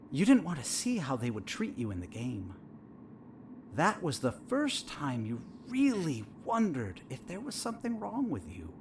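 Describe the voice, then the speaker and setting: Man playing a theatrical, needling therapist, swooping pitch. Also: a little hiss or hum. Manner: leading questions, theatrical, insinuating. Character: probing, needling male therapist, smug and eerily all-knowing. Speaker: actor in audio drama. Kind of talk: audio fiction dialogue.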